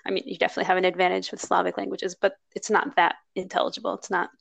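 Upbeat woman podcast host with vocal fry. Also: lowish voice, level tone, racing, a bit bright, dry recording. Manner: upbeat and chatty, laughs easily. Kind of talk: casual podcast conversation.

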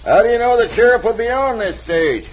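A dim outlaw sidekick. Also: male voice, very high-pitched, animated, brisk, a little hiss or hum. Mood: doubtful. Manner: unsure, mumbling. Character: slow-witted male outlaw sidekick. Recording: dull old radio recording.

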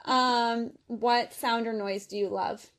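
Podcast host, middle-aged woman, raspy from a fading voice, a touch high, fills talk with "like.". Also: fairly even intonation, takes it slowly, fairly bright tone. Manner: playful, says "like" often. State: losing her voice. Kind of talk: casual chat.

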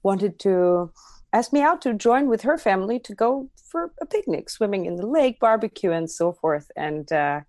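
A woman's voice: medium pitch, very animated, moderate pace, a little mellow, no echo, clean studio sound. A woman, a podcast guest telling a personal story in a rambling way. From Armenia.

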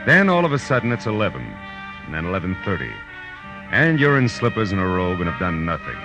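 A man's voice: low-pitched, very animated, quick pace. An American man playing a wry, tough private eye in clipped, sardonic 1940s radio style. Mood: wry narrating. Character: hard-boiled, wry male private eye. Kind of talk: 1940s radio acting, first-person narration. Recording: old radio broadcast with music.

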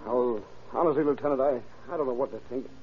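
Actor as a husky, hesitant young man. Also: mid-range voice, close to an everyday voice. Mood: troubled, hesitant. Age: young adult. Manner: hesitant, halting, earnest. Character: troubled, reluctant young man, son of a suspect. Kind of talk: old-time radio drama acting. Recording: muffled, phone-like old radio recording.

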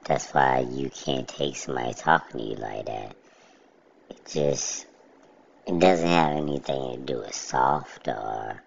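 Man with a very deep, gravelly voice, slow and steady monotone delivery; casual monologue, dull lo-fi sound. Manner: steady, plainspoken, self-described monotone. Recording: dull lo-fi recording.

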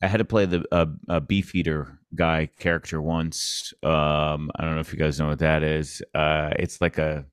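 A man with a low, deep voice is a guest in a casual interview, recorded dry with no room sound. He rambles a bit and pokes fun at himself. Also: flat delivery, moderate pace, few pauses, clean tone, no echo. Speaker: podcast guest, comedian, actor and sports PA announcer.

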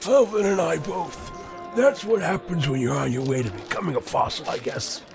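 A man's voice: fairly high pitch, very animated, normal speed, gravelly, over music. An actor playing a chatty, folksy older man, a wry and self-mocking regular at the movie theater. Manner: folksy, rambling, chuckling.

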